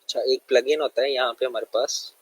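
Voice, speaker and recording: A man's voice: medium pitch, steady tone, brisk, breathy edge, no echo, poor audio. A man with a sore throat, giving a loose, conversational screen-share lesson full of fillers. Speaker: web development tutor.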